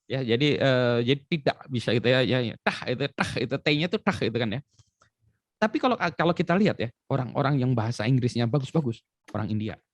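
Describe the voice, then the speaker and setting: A middle-aged man from Indonesia talks quickly as an expert guest, and his speech tumbles along with examples and fillers. His pitch sits in the middle. The recording is close and dry, with no room sound. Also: no echo. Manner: animated, explains with examples, frequent fillers. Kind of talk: conversational interview explanation.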